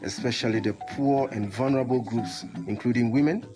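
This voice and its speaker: Guy reading a promo script, mid-pitched voice, music behind. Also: fairly even intonation, a little fast. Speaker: promotional announcer.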